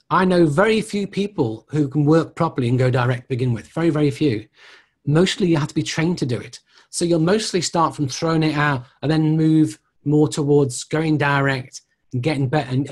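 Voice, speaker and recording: Middle-aged guy giving an online class, kinda high husky voice, explains stuff with little examples. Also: brisk. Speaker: mediumship tutor. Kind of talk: informal teaching, answering student questions.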